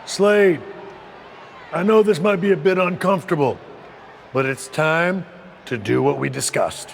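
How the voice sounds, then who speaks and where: An actor playing a domineering male wrestling faction leader in a video game, speaking in a slow, measured, condescending way. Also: fairly high pitch, gravelly, a faint crowd, slight background noise. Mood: menacing.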